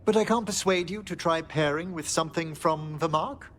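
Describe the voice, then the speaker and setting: An actor playing a courteous, scholarly gentleman necromancer in a fantasy game, with formal, genteel phrasing. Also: on the high side, normal speed, a little hiss or hum, natural voice. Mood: polite, wry.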